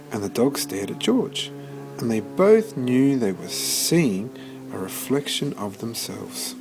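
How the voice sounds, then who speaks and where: A man reading a children's picture book aloud as scripted narration, expressively and with deliberate pauses. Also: medium pitch, darkish tone, poor audio. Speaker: storybook reader.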